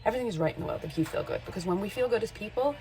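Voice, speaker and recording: Candid, mellow-voiced woman in her forties. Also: mid-range voice, expressive, rapid-fire, low-quality recording. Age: 40s. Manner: candid, rambling, self-correcting. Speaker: makeup artist and creator. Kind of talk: casual chatty vlog.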